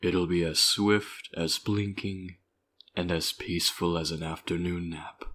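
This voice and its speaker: A man's voice: sits low, steady tone, measured pace, fairly bright tone. A man playing an arrogant, suave and menacing vampire tyrant in an ASMR roleplay, talking in a theatrical, formal, mocking manner. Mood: mocking, condescending. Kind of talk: scripted ASMR villain roleplay. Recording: echoing voice over faint music.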